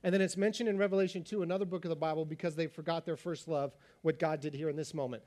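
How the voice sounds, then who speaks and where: A man preaching a sermon as pastor, talking fast and animated, his voice slightly raspy. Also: fairly high pitch.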